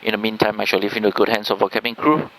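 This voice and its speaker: Fast-talking low-voiced man making cabin announcement. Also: fairly bright tone, rough recording. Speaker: airline pilot.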